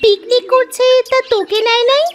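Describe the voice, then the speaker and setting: A woman's voice: very high-pitched, steady tone, moderate pace, slight background noise. A woman voicing a cheeky, eager little village schoolgirl in a cartoon story, chirpy and teasing. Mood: lively.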